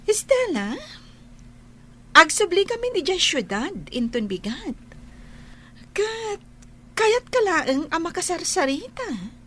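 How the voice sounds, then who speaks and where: Carla's type of young Ilocano bride-to-be in a radio drama. The voice is high and very animated, swooping up and down. The delivery is sugary, theatrical and gushing. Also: female voice, takes it slowly, pauses often, full character voice. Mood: eager, gushing.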